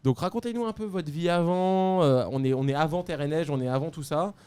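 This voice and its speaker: A man hosting a casual podcast interview, chatty and quick, slipping English words into his French. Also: on the high side, warm tone, smooth. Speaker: podcast host.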